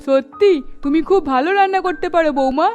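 Casting: woman to play melodramatic middle-aged mother-in-law for cartoon dub, high-pitched, emphatic, exclaiming. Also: fast talker, darkish tone, soft background music. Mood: pleased, gushing. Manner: emphatic, exclaiming, scolding or gushing. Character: scolding middle-aged Bengali mother-in-law, easily won over.

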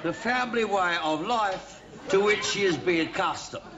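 A man doing a tipsy older father of the bride, rambling through a wedding toast. He slurs, stumbles over words and corrects himself, his voice pitched high. It comes from a dull-sounding old TV sketch with loud studio laughter behind him. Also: normal speed, a loud crowd, heavy background noise, lightly acted. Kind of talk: 1980s TV sketch comedy. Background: studio audience laughing.

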